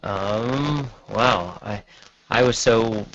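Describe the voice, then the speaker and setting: A man chatting casually as a podcast guest, halting and self-deprecating, over an online call. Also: lowish voice, a bit bright, slight background noise. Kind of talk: casual chat. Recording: dull lo-fi online call audio.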